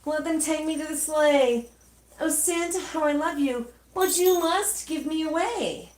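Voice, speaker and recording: A woman's voice: high-pitched, unhurried, a little mellow, reverberant, voice pushed up, low-quality recording. A woman voicing a small, eager Christmas teddy bear in a storybook, pleading earnestly to be loved. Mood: pleading, excited.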